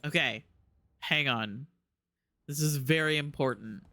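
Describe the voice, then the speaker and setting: Male game streamer, higher-pitched, bright voice, affectionate gushing, casual commentary, close mic. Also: a little slow, no echo. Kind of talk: casual live gameplay commentary.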